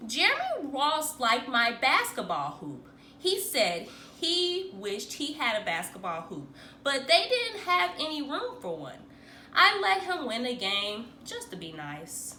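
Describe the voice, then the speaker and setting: High, animated woman reading a children's story. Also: a little slow, slight background noise. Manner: theatrical, acts out the dialogue. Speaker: teacher reading a picture book aloud. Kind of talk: expressive children's read-aloud.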